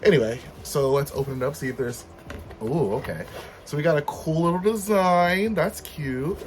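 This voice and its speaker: A man hosting a casual rant vlog, slightly hoarse from being under the weather, chatty and animated with sudden high, upbeat outbursts. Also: normal speed, slight background noise. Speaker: YouTube host.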